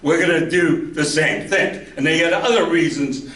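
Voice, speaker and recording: A man's voice: a little high, moderate pace, a bit bright. A man, a history professor, giving a chatty public lecture in a library meeting room, asking the audience questions now and then. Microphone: handheld mic, heard from afar. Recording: echoey room, voice from far off.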